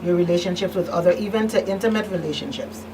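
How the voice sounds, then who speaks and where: A woman's voice: on the low side, fast talker. A woman hosting a talk show, interviewing conversationally and attentively, feeling her way through questions. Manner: attentive, rephrases questions, frequent murmured acknowledgments. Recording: distant mic, noisy room hum.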